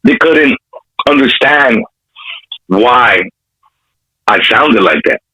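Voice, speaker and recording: A man's voice: medium pitch, lively intonation, slow pace, sharp tone, slightly rough, low-quality recording. A man from Compton with an urban Los Angeles accent, telling stories as a rapper in a casual interview, using streetwise slang and frequent swearing. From Compton, California. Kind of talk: animated storytelling in a casual interview.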